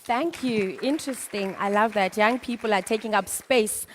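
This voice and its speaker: Female moderator, mid-pitched, upbeat and encouraging, long hall reverb. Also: moderate pace, slight background noise. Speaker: panel moderator. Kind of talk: moderating a panel. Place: conference hall.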